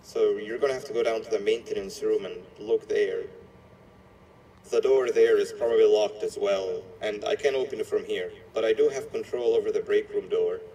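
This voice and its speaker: A man voicing a scheming cartoon monster mascot in a video game, falsely friendly and quietly menacing, in an ingratiating conversational manner with hesitant pauses. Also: on the low side, distant mic, natural voice. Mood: friendly, helpful. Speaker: game character guiding the player. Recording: echoey playback filmed off a screen, noisy.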